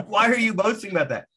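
A man with a husky, breathy edge to his voice, talking casually on a podcast. He rants with emphatic, profane energy and hammers his points home. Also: high-pitched, fairly bright tone. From Indonesia, to American parents. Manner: emphatic, profane, fired-up ranting.

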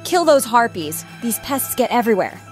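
A woman's voice: fairly high pitch, sing-song, normal speed, over loud music. A woman giving casual gameplay commentary as the host of a gaming channel.

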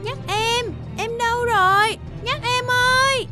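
A woman voicing an anxious, caring young girl mouse in an animated cartoon, earnest and emotional. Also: very high-pitched, slow pace, over loud music. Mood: panicked.

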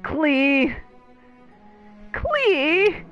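A woman's voice: high-pitched, very animated, extremely slow. A woman streamer giving casual commentary on a game and reading dialogue aloud. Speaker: gaming streamer. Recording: dull, muffled mic over game music. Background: game music.

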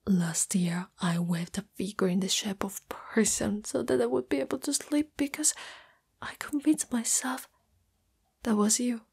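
Woman playing a shy spider-girl lover, very breathy whisper, slow and gentle, caring, intimate ASMR roleplay. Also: on the high side, steady tone, heavily acted. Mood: yearning. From Italy. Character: shy, caring young female spider monster girlfriend.

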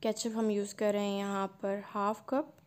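A woman, hosting a cooking channel and calmly narrating a recipe step by step. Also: medium pitch, normal speed, smooth. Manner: calm step-by-step instruction.

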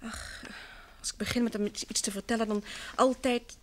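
A woman's voice: on the high side, fairly even intonation, moderate pace, lightly acted. An actress playing a distraught, earnest wife in a vintage radio play, emphatic and sometimes hesitant as she insists. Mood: agitated, emphatic. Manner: earnest, emphatic, hesitant and repeating herself when upset. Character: distraught, insistent wife convinced her missing husband lives.

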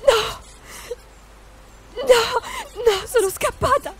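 A woman's voice: very high-pitched, extremely slow, a bit bright, slight background noise, exaggerated voice. A woman playing a wounded, defiant young woman agent who feels betrayed, in a video game fan dub. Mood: tearful. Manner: intense, bitter, emotional.

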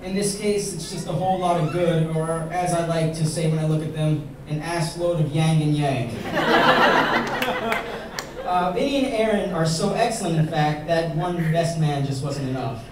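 A young man giving a best man's toast at a wedding, reading from a page into a handheld mic and joking at his own expense. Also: fairly high pitch. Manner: joking, self-mocking, pausing for laughs. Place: large banquet hall at a wedding, indoors. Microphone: handheld mic, filmed by a far camera. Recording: echoey hall from far off, crowd laughing.

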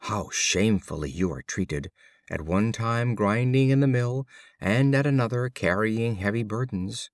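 Man reading as low-voiced envious goat. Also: animated, unhurried, dry recording, clean studio sound. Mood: mock sympathy. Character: envious, scheming male goat feigning sympathy.

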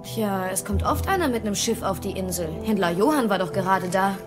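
A woman plays a young female Viking in an audio drama. She is confident and plain-spoken, at a medium pitch over clear music. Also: lively intonation, a little fast, natural voice. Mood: matter-of-fact.

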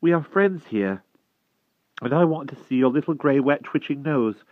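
A man's voice: a little high, lively intonation, moderate pace, pitched above the performer's own voice, natural voice. A man voicing an earnest young boy who coaxes and grows impatient. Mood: coaxing. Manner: coaxing, then exasperated. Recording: tinny phone-like dry sound.

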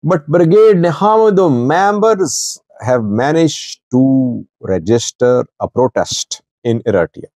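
Male news commentator, middle-aged. Soft-edged tone, halting short phrases, fairly dry close clip-on sound. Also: medium pitch, animated, no room sound. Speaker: news commentator, channel host. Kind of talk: informal political news commentary to camera. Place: small indoor office with wood-panelled wall. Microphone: small clip-on lavalier at chest.

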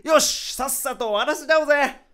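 A man's voice: very high-pitched, fairly quick, a bit bright. A man acting in a radio drama as an upbeat, chatty vice president of a new startup. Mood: excited, cheerful. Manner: exuberant, chatty, swinging from cheers to concern.

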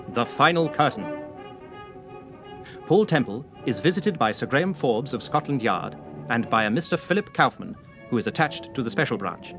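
A man with a British accent reading scripted recap narration as the announcer of a radio serial. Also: medium pitch. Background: faint music.

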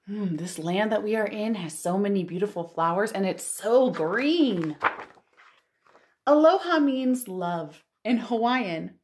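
A woman in her thirties hosting a storytime for young children, reading aloud and chatting in an expressive, exclamatory way. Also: mid-range voice, slow pace. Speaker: children's librarian and storytime host. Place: living room at home.

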